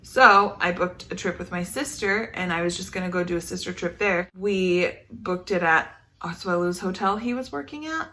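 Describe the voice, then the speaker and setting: A woman's voice: lowish voice, moderate pace, sharp tone. A woman chatting casually and telling stories with her partner for a vlog, in a playful, teasing way. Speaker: vlog co-host telling stories over photos. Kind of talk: casual storytelling chat with partner.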